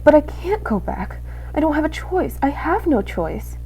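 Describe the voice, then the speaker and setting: A woman voicing a tiny male mouse in a storybook, timid yet brave, talking earnestly and haltingly to himself. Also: high-pitched, sing-song, mellow, a little hiss or hum, pitched above the performer's own voice, poor audio. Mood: anxious resolve. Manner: halting, earnest self-talk.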